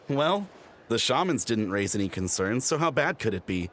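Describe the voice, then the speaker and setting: A man's voice: mid-range voice, big pitch swings, normal speed, a bit bright, a little hiss or hum. A man playing an easygoing, friendly young guard in a video game. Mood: relaxed, confident.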